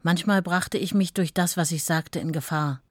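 Radio play wife, played by a woman: deep female voice, sober, factual, unadorned. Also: moderate pace, no echo, clean studio sound, lightly acted. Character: novelist's steadfast, sober wife looking back. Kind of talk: radio play recollection, plain factual narration.

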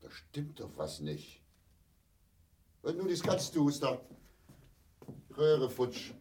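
Gruff old domestic tyrant, radio play, highish voice, swinging between grumble and bark. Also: male voice, wildly swooping pitch, slow, dark tone, distant mic, a little hiss or hum, exaggerated voice. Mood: grumbling. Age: older. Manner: grumbling, barking, coarse. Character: gruff, domineering old family patriarch glued to the television. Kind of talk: grotesque German radio drama acting.

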